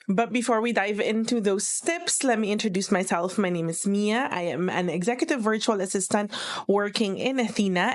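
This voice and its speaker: A young adult woman with a Filipino accent, speaking earnestly as she explains tips, often repeating her phrases. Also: medium pitch. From Philippines. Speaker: virtual assistant operations manager. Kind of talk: instructional vlog talk.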